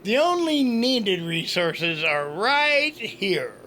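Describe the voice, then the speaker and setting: An actor playing a wry, indifferent, hard-drinking space station officer with a dry, sarcastic manner. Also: male voice, high voice, big pitch swings, slow, sharp tone, a little hiss or hum, exaggerated voice. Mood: unbothered, indulgent.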